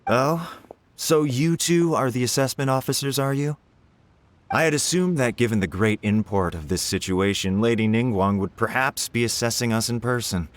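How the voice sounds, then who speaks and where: A man's voice: mid-range voice, moderate pace, natural voice. A man playing an arrogant, condescending scholar-official in a video game, speaking in formal, pompous phrases. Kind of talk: video game character acting. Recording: game music playing faintly behind.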